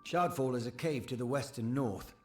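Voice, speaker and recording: A man's voice: medium pitch, moderate pace, darkish tone, close to an everyday voice. A man voicing an eager, self-effacing village sagecrafter in a fantasy game, earnest, hesitant and deferential. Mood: earnest, uneasy. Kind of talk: scripted game dialogue. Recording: roomy echo, background music.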